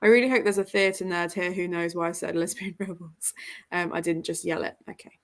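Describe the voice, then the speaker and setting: A woman's voice: on the low side, fairly even intonation, fairly quick. Self-deprecating woman chatting on a livestream. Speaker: host of a book livestream with guests. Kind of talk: casual livestream chat, reading out comments.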